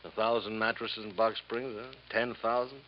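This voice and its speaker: A man's voice: fairly low pitch, fairly even intonation, normal speed, lightly acted. An actor playing a middle-aged, crooked company boss in a radio drama, wheedling and folksy, with salesman patter full of tag questions. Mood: jovial, coaxing. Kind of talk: 1950s radio drama acting. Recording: muffled, hissy 1950s radio recording.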